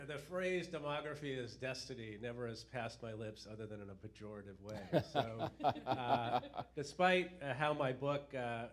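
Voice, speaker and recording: A man's voice: lowish voice, fairly bright tone. A man speaking as a panel guest in a self-assured, conversational way. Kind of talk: panel discussion.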